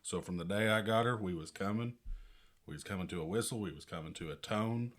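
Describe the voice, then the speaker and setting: A man with a somewhat low voice takes part in a casual podcast chat. His way of talking is plain and direct. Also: a little fast, a crowd in the background. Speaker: podcast participant.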